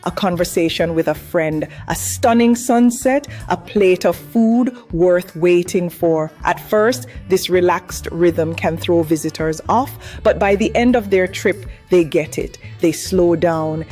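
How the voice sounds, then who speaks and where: A woman's voice: on the low side, a little slow, few pauses, warm tone, soft background music. A Jamaican woman, host of a travel channel, narrating a scripted piece in an upbeat, rhythmic way as she lists what her island offers. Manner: upbeat, rhythmic listing delivery.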